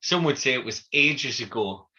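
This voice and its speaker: A man's voice: medium pitch, lively intonation, moderate pace, sharp tone. A man, co-hosting a casual chat with dry, self-mocking jokes. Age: 40s. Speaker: podcast co-host. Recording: dull lo-fi sound.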